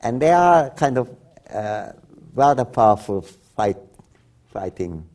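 An older man, a native Bengali speaker from Bangladesh, talking reflectively as an interview guest, often restarting and laughing softly. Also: medium pitch, big pitch swings, slow pace. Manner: rambling with frequent restarts and soft laughs. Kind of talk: reflective conversation.

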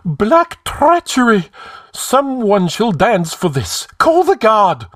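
Shrill, flustered old counselor. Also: male voice, wildly swooping pitch, slow pace, a bit bright, no room sound, clean studio sound, full character voice. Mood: outraged alarm. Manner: gibbering, wailing, fussy. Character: fat, flustered old male royal counselor.

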